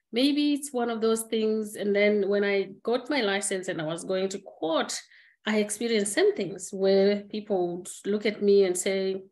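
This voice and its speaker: A middle-aged woman from Zimbabwe speaking on a webinar call, reflective and earnest, pausing on fillers and restarting her sentences. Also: medium pitch. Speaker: guest speaker. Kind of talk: candid personal conversation. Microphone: webinar video call.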